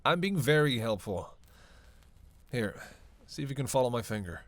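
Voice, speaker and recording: A man playing a snarky, self-serving male vampire who teases the listener in a casual, sarcastic roleplay audio drama, with a creaky voice. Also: medium pitch, animated, normal speed, dry recording, natural voice. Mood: coaxing, playful. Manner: casual, sarcastic, teasing, cursing.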